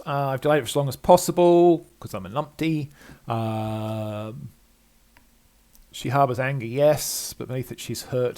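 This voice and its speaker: A man's voice: mid-range voice, gravelly. An older man hosting a game playthrough with casual, rambling, self-deprecating commentary. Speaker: gaming video host.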